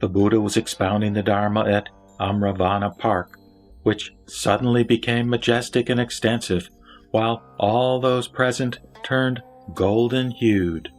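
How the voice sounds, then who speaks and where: A man with a low voice narrates sacred scripture. His delivery is measured and solemn, with faint instrumental music underneath. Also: steady tone. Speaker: narrator and reciter of a Buddhist sutra.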